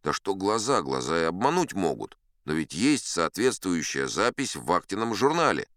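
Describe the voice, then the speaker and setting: A man's voice: deep voice, sing-song, moderate pace, fairly bright tone, no room sound, studio quality. An actor playing a boastful old sea captain, telling his tall tales in a folksy, self-assured, conversational way. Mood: musing. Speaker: audiobook performer narrating in character. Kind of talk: dramatic first-person storytelling.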